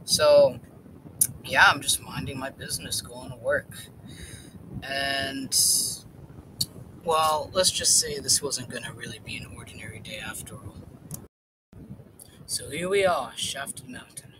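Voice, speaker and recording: A man's voice: mid-range voice, a little slow, pauses often, recorded from a distance, background noise. A man casually narrating his own story in the first person, rambling a little. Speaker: narrator and creator.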